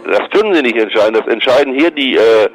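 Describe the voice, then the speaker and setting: A man's voice: medium pitch, lively intonation, fast talker, rough recording. A man on the phone in an official role, blunt and insistent, repeating himself with exasperation. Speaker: police school employee taking a phone call. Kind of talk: unscripted phone conversation.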